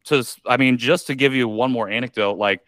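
A man's voice: mid-range voice, a little fast, fairly bright tone, no echo. A man, a podcast guest telling a candid personal story in a reflective way, with many likes and ums. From United States.

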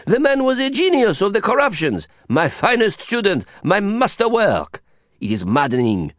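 A worldly Frenchman put on by a male reader lectures, his voice pitched well above the reader's own and swinging widely up and down. He sounds grand and theatrical, and the audiobook recording is dry and close. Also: big pitch swings, normal speed, no room sound. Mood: mock outrage. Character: worldly older Frenchman, witty, theatrical, expansive.

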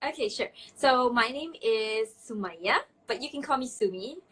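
A woman's voice: a little high, lively intonation, normal speed, a bit bright, breathy edge. A woman from Malaysia chatting casually as an interview guest, playful and self-deprecating, on a video call. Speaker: interview guest, startup managing director. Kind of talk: casual chat. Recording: video call with faint background noise.

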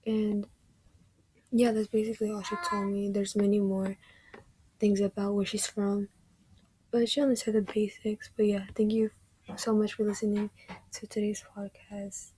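Young woman, podcast host, chatty, lots of fillers, monotone, husky, dry close sound. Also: mid-range voice, moderate pace, pauses often, dry recording, low-quality recording. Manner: chatty, fillers, drifts into whispery stretches. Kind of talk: casual podcast recap.

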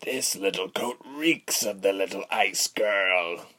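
A man voicing a sinister commander in an audiobook, coarse and breathy, speaking slowly with quiet, cold derision. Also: low-pitched, full character voice. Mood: mocking, threatening. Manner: slow, drawling, quiet and derisive. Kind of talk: audiobook character voice.

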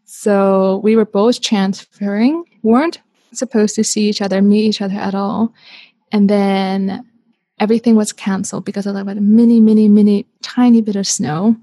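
A woman's voice: mid-range voice, moderate pace, no echo. Chatty woman guest in her thirties. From Canada. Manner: chatty, animated, peppered with "like". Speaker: interview guest. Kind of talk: casual storytelling in an interview.

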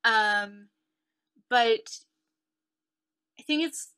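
A woman's voice: a little high, drawn-out words, bright, forceful tone, smooth, rough recording. A woman from Canada, a guest talking reflectively over a video call, with many "like"s and "um"s. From Ontario, Canada. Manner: reflective, chatty with many likes and ums. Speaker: guest, dancer and researcher. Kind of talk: casual interview chat.

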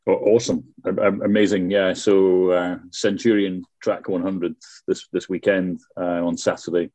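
A man's voice: sits low, flat delivery, normal speed, darkish tone, no echo. A man hosting a podcast interview, chatty, with frequent restarts. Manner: chatty, stumbling restarts, laughs often. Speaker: podcast host.

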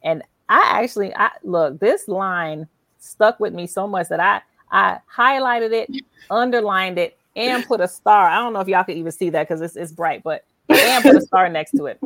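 A woman's voice: medium pitch, moderate pace, fairly bright tone, no echo. A woman hosting a conversational interview, speaking in a reflective, affirming and emphatic way. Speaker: show host and interviewer. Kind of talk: conversational interview, reading aloud from a book.

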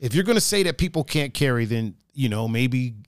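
A laid-back man with a raspy voice hosts a casual talk show, cursing freely and joking as he reads out viewer comments. His voice sits at an everyday pitch. The recording is close and dry. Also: animated, fairly quick, dry recording. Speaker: podcast host. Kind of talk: casual chat.

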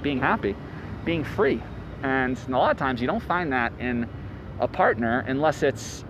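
A man in his late twenties hosting a podcast, rambling casually and profanely through stories and notes. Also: fairly high pitch. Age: late 20s. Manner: rambling, profane, animated storyteller. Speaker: podcast host. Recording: loud street traffic noise.

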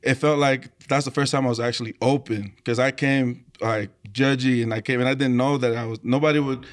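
A man who grew up speaking Tongan as his first language talks in a casual interview. He shares personal stories in a halting, reflective way, with a medium-pitched conversational voice. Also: steady tone. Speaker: church choir leader and worship singer.

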